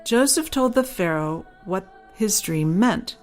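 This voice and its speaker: A woman's voice: mid-range voice, lively intonation, takes it slowly, faint music behind. A woman reads her own children's story as scripted audiobook narration.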